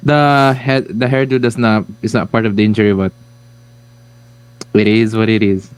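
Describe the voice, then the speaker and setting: A young man, co-hosting a sports podcast with deadpan jokes. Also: on the low side, normal speed, clear, resonant tone. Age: 20s. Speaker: podcast co-host. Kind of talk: casual sports podcast chat.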